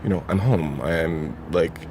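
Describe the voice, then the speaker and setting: Deep-voiced man over faint traffic noise. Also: a little slow. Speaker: interviewee, house resident. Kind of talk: casual interview.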